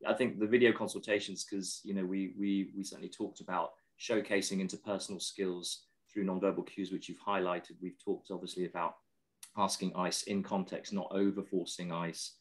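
Low-voiced male host interviewing over video call. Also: flat delivery, normal speed. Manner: encouraging, many affirming yeses. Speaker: host and exam tutor.

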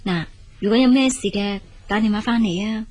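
A woman's voice: medium pitch, a little fast, a little mellow, lightly acted. An actress playing a hard-working, caring elder sister and young mother in a Cantonese radio drama, with a Hong Kong accent.

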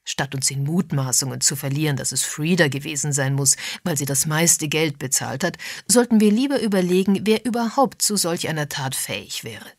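Woman reading as composed, husky amateur sleuth man. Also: low voice, animated, normal speed, few pauses, no room sound, studio quality. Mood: brisk, methodical. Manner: methodical, explanatory.

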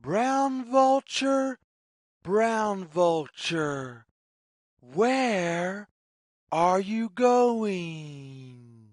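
A storyteller reading a children's read-along story in deliberate, sing-song phrases with pauses. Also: mid-range voice, very animated, no echo, studio quality. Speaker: read-aloud narrator.

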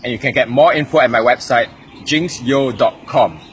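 A man's voice: mid-range voice, moderate pace, slight background noise. A young man from Singapore, recording an upbeat promo to camera in an outdoor courtyard, with a wry aside. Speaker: comedian. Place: outdoor courtyard by apartment blocks.

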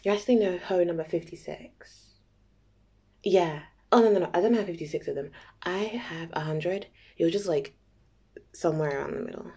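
A woman playing a playful, nervous young date in an intimate ASMR roleplay, soft and halting, often near a whisper. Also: fairly low pitch, slightly rough. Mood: mock-offended, teasing. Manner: halting, giggly, teasing.

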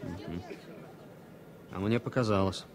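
An actor playing an anxious, know-it-all former military pilot, an insistent grumbler, in a radio play. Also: male voice, low voice, slow, some chatter behind. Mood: worried, insistent. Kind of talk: 1970s Soviet radio play acting. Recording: slightly noisy vintage radio-play recording.

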